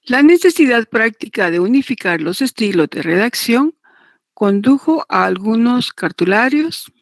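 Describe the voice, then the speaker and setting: The sort of deliberate woman you would hear teaching a law class, unhurried and repeating points, recorded close and dry. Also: on the high side, animated, no room sound. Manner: deliberate, repetitive, checks understanding with tag questions. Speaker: law lecturer teaching notarial law. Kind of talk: didactic lecture, partly read aloud.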